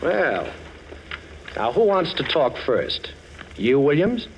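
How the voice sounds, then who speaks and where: A man's voice: on the high side, very animated, slow pace, heavily acted. An American man playing a suave, sardonic amateur detective in a 1940s-style radio drama. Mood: smug, confronting. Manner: glib, sardonic, self-assured. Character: Boston Blackie, suave wisecracking amateur detective, adult man. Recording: muffled, hissy vintage radio recording.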